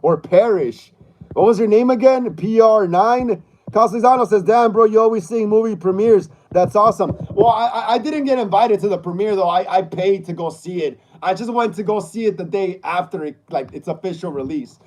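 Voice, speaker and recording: A man's voice: sits high, normal speed, darkish tone, poor audio. A man in his thirties hosting a casual livestream, reading viewer comments aloud in a laid-back way and often stammering and repeating himself. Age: 30s. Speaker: livestream host.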